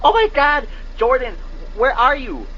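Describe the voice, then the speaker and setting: Woman acting an alarmed caller, sharp and noisy. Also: high-pitched, big pitch swings, a little slow, highs cut off, heavily acted. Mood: alarmed, urgent. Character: alarmed, urgent woman calling her partner by phone. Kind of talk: amateur audio drama.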